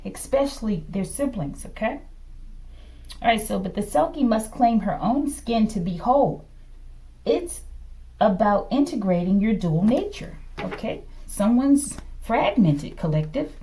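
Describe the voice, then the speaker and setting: Woman tarot reader with lively, swooping pitch. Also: unhurried, rough recording. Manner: fragmented delivery, murmuring to self, often ending phrases with a questioning "okay?". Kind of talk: improvised tarot reading to camera.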